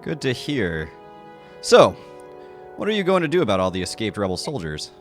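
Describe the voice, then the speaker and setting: A man's voice: fairly low pitch, huge pitch swings, normal speed, soft background music. A man voicing a stoic young mercenary leader in game dialogue, blunt, dutiful and terse. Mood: matter-of-fact. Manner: plain-spoken, terse. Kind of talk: game dialogue read aloud in a fan dub.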